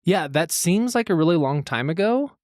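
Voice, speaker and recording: A man's voice: on the high side, animated, normal speed, darkish tone, dry recording, clean studio sound. A man co-hosting a podcast, talking in an easygoing, agreeable way with lots of hedging. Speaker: podcast co-host. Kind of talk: casual podcast chat.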